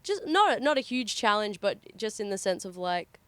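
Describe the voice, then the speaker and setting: A woman's voice: mid-range voice, sing-song, normal speed, fairly bright tone, smooth, dry recording. Young woman, band vocalist, loose filler-heavy interview chat. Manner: chatty, lots of "like" fillers. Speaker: band singer.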